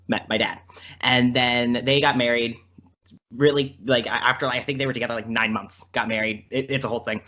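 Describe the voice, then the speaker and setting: A man chatting casually as a podcast guest, telling stories in a rambling, animated way and often interrupting himself. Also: mid-range voice. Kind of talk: casual chat, storytelling. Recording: dull lo-fi podcast audio.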